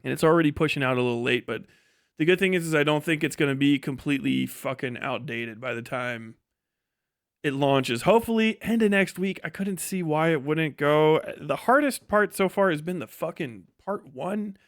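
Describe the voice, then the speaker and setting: Casual male streamer, smooth and fairly high-pitched, very dry sound. Sardonic, exasperated, swears constantly, rambles freely. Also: moderate pace, no echo. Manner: heavily profane. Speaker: livestreamer and video creator giving a work update. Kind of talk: casual rambling livestream chat.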